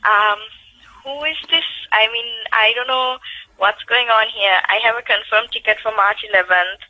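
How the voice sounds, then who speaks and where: A woman's voice: fairly high pitch, moderate pace, bright, forceful tone. A woman answering a prank call on the phone, polite but puzzled and firm. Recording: dull telephone line.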